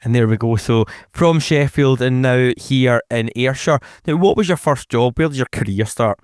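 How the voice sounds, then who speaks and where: A man's voice: medium pitch, darkish tone, clean tone, no room sound, clean studio sound. A man hosting a local radio interview, upbeat and encouraging. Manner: upbeat and encouraging, with a quick stock of praise. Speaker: radio show host.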